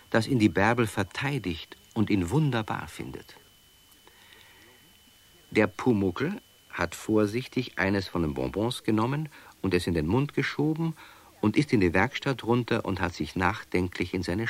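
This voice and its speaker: An actor as the storyteller of a children's radio play, narrating from a script and addressing young listeners. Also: male voice, on the low side, very animated, measured pace, full character voice. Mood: engaged.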